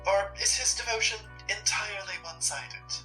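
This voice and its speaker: A woman playing a cold, scheming supernatural curator, haughty, condescending and deliberate in a sardonic, theatrical way. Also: mid-range voice, sharp tone, far from the mic, soft background music, close to an everyday voice, low-quality recording. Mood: smug, calculating. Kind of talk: dramatic roleplay acting.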